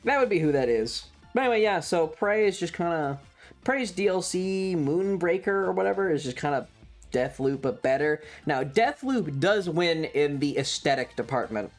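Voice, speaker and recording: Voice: medium pitch, expressive, normal speed, soft background music. A video game streamer chatting casually in a rambling, blunt way that is peppered with swearing. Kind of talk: casual livestream commentary.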